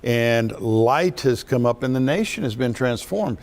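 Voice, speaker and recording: American guy hosting a faith show, middle-aged, eager, average pitch. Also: lively intonation, a little slow. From United States. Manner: enthusiastic, warm-hearted presenter. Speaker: broadcast host.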